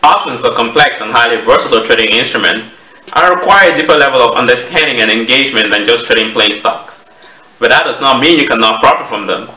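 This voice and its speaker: A man in his thirties with a Cameroonian accent, giving a measured, explanatory presentation to camera as a trading educator. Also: medium pitch, sharp tone. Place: small home office, indoors. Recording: roomy echo, distant microphone.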